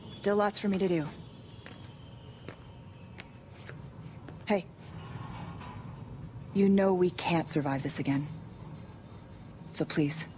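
An actress playing a frank, weary doctor with a dry, conversational manner in game cutscene dialogue. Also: female voice, lowish voice, unhurried, a little mellow, soft background music, natural voice. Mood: worried. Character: wry, weary female doctor briefing an agent.

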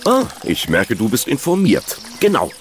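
An actor playing a kindly, gently teasing father of a teenager in a German children's audio drama. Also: mid-range voice, huge pitch swings, normal speed, slight background noise. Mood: friendly.

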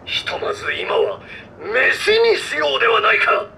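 An actor playing a courteous, grandiose old robot knight captain in a video game, speaking in pompous, archaic courtly phrases. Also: male voice, extremely high pitch, wildly swooping pitch, a little slow, creaky, pushed and bright, slight background noise, full character voice. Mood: genial, commanding. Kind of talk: video game cutscene acting.

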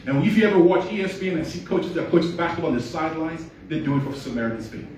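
A man's voice: on the high side, animated, racing, a little mellow, slight background noise. A middle-aged man with a Nigerian accent, telling his story in an earnest, inspirational speech on a handheld mic at an awards event. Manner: storytelling, earnest, rising to emphatic peaks. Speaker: award honoree giving a speech. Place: large hall at an awards event, red carpet. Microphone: handheld mic, filmed by a phone from the audience. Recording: echoey hall, far from the phone.

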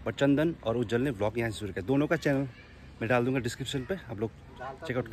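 A man's voice: mid-range voice, fast talker, darkish tone. A young man doing casual travel-vlog narration outdoors on a helmet and selfie camera, chatting like a tour guide and calling out to his viewers. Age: young adult. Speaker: motorcycle travel vlogger. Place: outdoors on hill roads and at a roadside cafe. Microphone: helmet camera and handheld selfie camera. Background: background music and passing vehicles.

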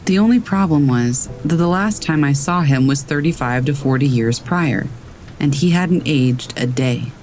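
A man calmly reading scary stories aloud as a narrator, in a steady storytelling manner. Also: on the high side, normal speed, faint music behind. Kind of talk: scripted narration of reader submissions. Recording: faint rain in the background. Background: rain.

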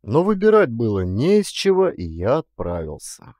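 A man narrating a literary story as an audiobook actor, with deliberate, dramatic phrasing and weighty pauses. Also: medium pitch, wildly swooping pitch, darkish tone, no room sound, studio quality.

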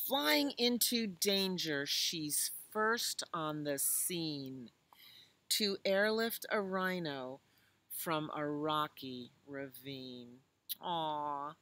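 An American woman in her sixties reads to small children in a bright, slightly low-pitched voice. She takes her time, pausing to explain simply and to put questions to her young listeners. She is recorded outdoors. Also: animated. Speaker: children's librarian reading storytime. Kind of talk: reading a picture book aloud to young children, with chatty asides. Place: outdoors in a leafy garden.

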